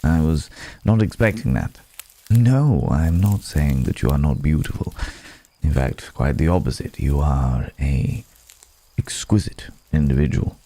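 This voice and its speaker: Man voicing a very deep, mellow courteous werewolf gentleman. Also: measured pace, heavily acted. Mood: charming, apologetic. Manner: hesitant, formal, polite phrasing with soft tag questions. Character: courteous, guarded werewolf man, a grateful city-born stranger. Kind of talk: intimate ASMR roleplay acting.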